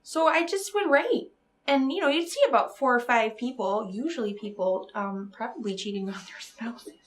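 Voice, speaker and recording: Woman playing a high-voiced, flustered lady romance novelist. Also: slight background noise, lightly acted. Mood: nervous, earnest. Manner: flustered, halting, chatty, trailing off. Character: nervous, rambling, earnest female romance novelist who loves cats. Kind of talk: improvised comedy interview.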